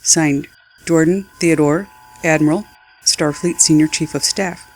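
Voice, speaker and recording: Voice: mid-range voice, steady tone, measured pace, slight background noise, close to an everyday voice. A performer playing a formal, dutiful starship communications officer in a science fiction audio drama. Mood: formal, reporting.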